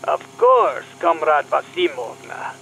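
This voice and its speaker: Slow, creaky Russian scientist. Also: male voice, a little high, sing-song, fairly bright tone, slight background noise, heavily acted, rough recording. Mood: pleased, sinister. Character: gloating, deliberate male Russian KGB scientist. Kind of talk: Cold War radio play acting.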